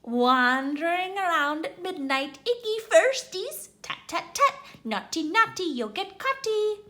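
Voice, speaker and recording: A woman's voice: extremely high pitch, sing-song, unhurried, pushed and bright, voice pushed up, heavily acted. A woman voicing a mischievous male poltergeist who taunts in a sing-song voice. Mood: gleeful taunting.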